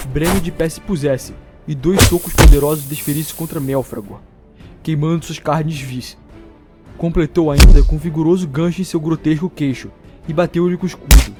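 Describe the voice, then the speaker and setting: Higher-voiced Brazilian man narrating fantasy. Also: normal speed. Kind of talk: dramatic scripted fantasy storytelling. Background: music.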